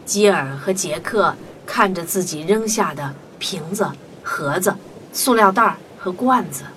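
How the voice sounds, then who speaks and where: Animated woman narrating a children's story. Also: lowish voice, measured pace, a little hiss or hum.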